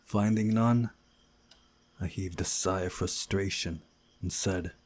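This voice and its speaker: A man reading a visual novel aloud as narrator, in a measured storytelling way with a husky voice. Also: low voice, steady tone. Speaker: gaming video narrator.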